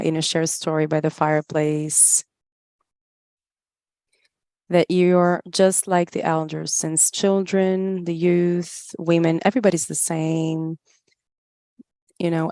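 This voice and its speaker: A woman giving a live spoken interpretation of a talk, in halting phrases with pauses mid-thought. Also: lowish voice, darkish tone, no room sound, studio quality. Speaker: interpreter voicing another speaker's words.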